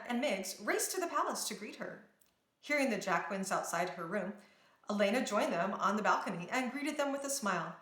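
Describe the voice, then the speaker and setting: A woman's voice: medium pitch, fairly bright tone. A woman narrating a children's storybook aloud, reading expressively and carefully. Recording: roomy indoor echo.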